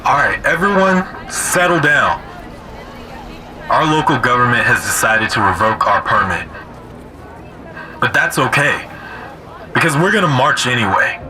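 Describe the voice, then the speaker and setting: A man's voice: mid-range voice, very animated, a little slow, long pauses, pushed and bright, a little hiss or hum, exaggerated voice, rough recording. A man playing an earnest, resolute community leader in an audio drama, speaking emphatically like an orator. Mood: rousing. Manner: oratorical, emphatic, repeating phrases. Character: earnest, resolute young male community leader rallying neighbors. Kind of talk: rousing speech in an audio drama. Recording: music bed under the voice.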